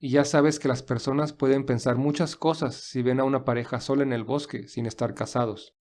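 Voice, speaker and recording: A man's voice: medium pitch, fairly even intonation, fairly quick, a little mellow, natural voice. A man playing a courteous, resourceful young man in an audio story, speaking earnestly and reassuringly. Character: polite, resourceful young man stranded with his companion. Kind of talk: audio story character dialogue.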